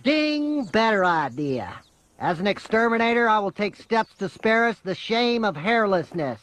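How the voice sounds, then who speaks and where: A man voicing a cocky, paranoid, eccentric exterminator in an animated sitcom, with a pompous deadpan delivery. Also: sits high, normal speed. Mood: smug. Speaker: exterminator character.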